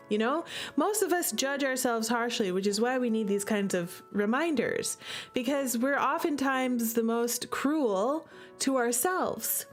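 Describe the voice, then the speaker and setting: Slow-talking, higher-voiced woman streamer. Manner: gushing, emphatic, repeats phrases for effect. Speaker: streamer playing a visual novel. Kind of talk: livestream commentary, chatting with viewers.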